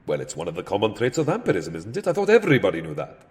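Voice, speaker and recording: A man's voice: on the low side, huge pitch swings, rapid-fire, slightly rough, exaggerated voice. A man playing a centuries-old vampire count in a comedic audio drama, fussy, sardonic and theatrically wordy. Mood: sardonic, exasperated. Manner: theatrical, wry, fussily verbose.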